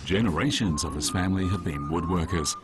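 A man reading scripted documentary narration in a measured, even way. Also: deep voice. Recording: voice-over with music bed.

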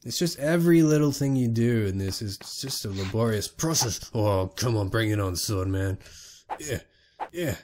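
Young man, gaming commentary, sick, excitable, swears a lot, faint background noise. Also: fairly low pitch, normal speed. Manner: excitable, swears often, talks to the game. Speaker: gaming YouTuber. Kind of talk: casual let's play commentary.

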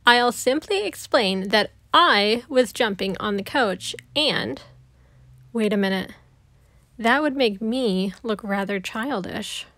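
A woman voicing a fussy, proper grown-up man, an easily flustered babysitter in a children's storybook, in a prim, drawn-out way. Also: a little high, fairly bright tone, no echo, studio quality. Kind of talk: children's storybook read-aloud.

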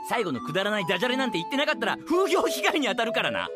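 A man's voice: high-pitched, wildly swooping pitch, very fast, loud music behind the voice. A man playing a sarcastic, exasperated teenage boy in an anime audio drama, blunt and dry with explosive retorts. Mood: outraged.

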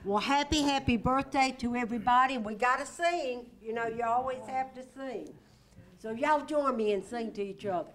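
A woman's voice: fairly high pitch, normal speed. A middle-aged woman, director of a senior center, hosting a birthday party in an indoor community room on a handheld mic. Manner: cheerful, chatty, holds a handheld mic.

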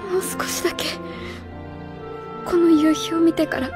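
High-voiced earnest young schoolteacher played by an actress. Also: female voice, fairly even intonation, a little slow, music in the background. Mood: earnest resolve. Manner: formal, polite, earnest. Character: earnest, stiff young female schoolteacher, proud and vulnerable. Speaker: radio drama actress. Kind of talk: Japanese radio drama acting.

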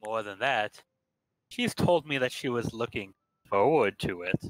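A man's voice: on the low side, lively intonation, measured pace, dry recording, low-quality recording. A man reading visual novel narration aloud expressively, with playful ad-libs.

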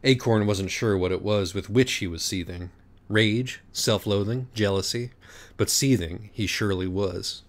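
A man reading a comic story aloud as an audiobook narrator, in a deadpan, wry manner. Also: on the low side, measured pace.

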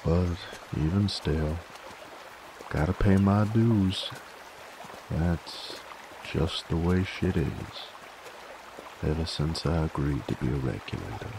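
A man playing a cocky, dominant werewolf bounty hunter in an ASMR roleplay, with a gravelly cowboy drawl and a teasing, folksy manner. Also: takes it slowly, long pauses, background noise, full character voice. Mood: dry, weary. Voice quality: deep, gravelly. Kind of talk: ASMR audio roleplay, in-character monologue. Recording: steady trickling stream ambience behind. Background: running water, outdoor nature ambience.

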